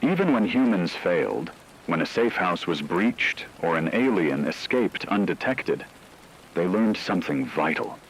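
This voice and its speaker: A man reading dramatic science-fiction narration in a grave, measured storytelling manner. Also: sits low, sing-song, slight background noise, low-quality recording. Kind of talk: dramatic scripted sci-fi narration.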